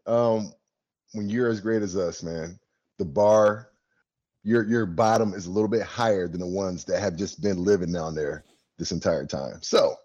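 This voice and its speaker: A man's voice: sits low, moderate pace, darkish tone, lo-fi sound. A man co-hosting a casual sports podcast, talking in a laid-back, wry and joking way. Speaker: podcast co-host.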